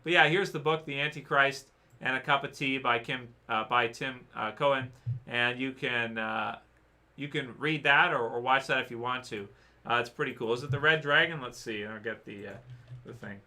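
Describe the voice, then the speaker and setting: A man's voice: medium pitch, normal speed, sharp tone. A man hosting a casual livestream, rambling through viewer questions with many fillers and restarts, saying he is losing his voice. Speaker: livestream host answering chat.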